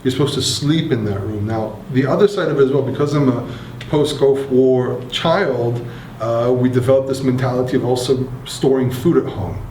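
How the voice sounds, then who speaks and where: A man in his thirties with an Israeli accent, explaining things in a relaxed, hesitant way with many fillers. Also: medium pitch, moderate pace, slight background noise. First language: Hebrew. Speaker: interviewee. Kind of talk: casual explanatory talk. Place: bomb shelter in an apartment building. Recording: echoey concrete room.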